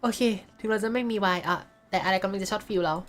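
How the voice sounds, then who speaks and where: A woman's voice: medium pitch, rapid-fire, a bit bright, soft background music. A woman streamer chatting casually while playing, reading along and joking. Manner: chatty, reads along and jokes. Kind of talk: casual game commentary.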